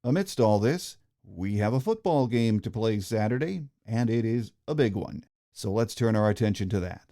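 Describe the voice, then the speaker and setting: A man's voice: fairly low pitch, expressive, normal speed, warm tone. An American man hosting a sports podcast, reading scripted news and introductions.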